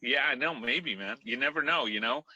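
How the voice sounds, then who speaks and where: A man's voice: medium pitch, normal speed, sharp tone, husky, no room sound, highs cut off. A man from Mexico, a Spanish speaker, chatting loosely as an interview guest with many fillers and restarts. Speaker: guest musician. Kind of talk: casual interview chat.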